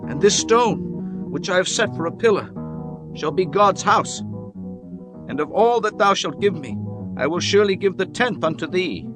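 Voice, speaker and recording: A man voicing an earnest young patriarch, high and lively. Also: normal speed, over music. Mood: awed vow. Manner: solemn, archaic formal diction. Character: devout young male patriarch, earnest and pleading. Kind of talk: dramatized scripture reading.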